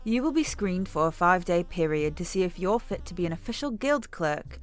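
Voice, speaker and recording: A woman's voice: on the low side, big pitch swings, fairly quick, faint music behind, pitched below the performer's own voice. A woman reading as a cheerful guild boss. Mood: welcoming. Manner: reads game dialogue aloud. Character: cheerful female guild supervisor.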